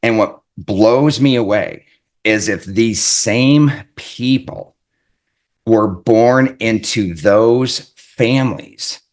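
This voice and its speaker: A man giving an earnest, reflective self-help talk as an author, often repeating his points and checking in with "right?". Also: on the low side. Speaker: author and life coach.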